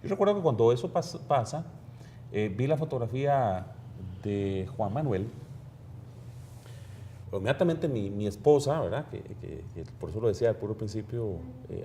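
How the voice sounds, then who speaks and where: A man's voice: lowish voice, animated, normal speed, dark tone, a little hiss or hum. A man with a Costa Rican accent hosting a TV studio interview, asking questions animatedly with hesitant fillers. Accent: Costa Rican Spanish. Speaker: TV host.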